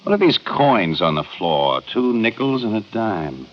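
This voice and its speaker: An American actor playing a confident young homicide inspector in an old-time radio detective drama, authoritative and deliberate. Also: male voice, on the low side, very animated, slight background noise. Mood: assured, deductive. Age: young adult. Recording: dull vintage radio recording.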